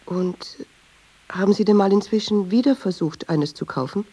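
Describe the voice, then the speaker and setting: Gravel-voiced, very dark brooding woman. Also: mid-range voice, moderate pace, dry recording. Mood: dry. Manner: reflective, short clipped sentences, musing. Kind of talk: literary radio play monologue and dialogue. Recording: dull sound, faint noise.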